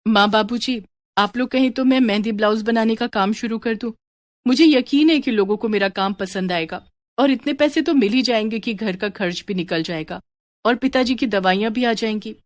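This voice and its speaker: An earnest young college-age daughter, played by a woman narrator, dutiful and eager to reassure. Her voice sits a little above an ordinary speaking pitch in a very dry, close storybook recording. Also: moderate pace, dry recording, lightly acted. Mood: pleading, determined.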